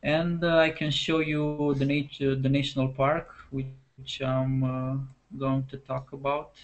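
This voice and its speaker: A man with a Romanian accent and a gravelly, creaky voice. His delivery is flat, with many "uh" pauses. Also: medium pitch, dull audio. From Transylvania, Romania. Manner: relaxed, hesitant, uh-filled. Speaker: landscape photographer. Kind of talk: casual self-introduction.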